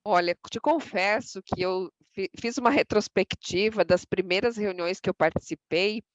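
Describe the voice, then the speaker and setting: Woman, São Paulo countryside accent, thoughtful, medium pitch, dry and slightly dull sound. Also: moderate pace, no echo. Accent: São Paulo countryside, strong rolled R. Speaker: president of the association. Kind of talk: podcast conversation.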